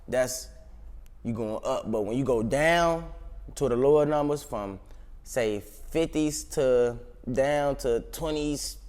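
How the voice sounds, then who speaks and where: A man's voice: mid-range voice, a little mellow. A young adult man with a Chicago accent, giving a casual interview in slangy, profane street talk. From South Side of Chicago, United States. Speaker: interview guest, rapper.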